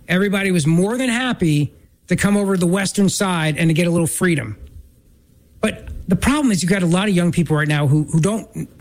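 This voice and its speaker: Male talk radio host with a stuffed-up nose, chatty and self-mocking, voice on the higher side. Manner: chatty, self-deprecating, quick asides. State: stuffy from a sinus infection.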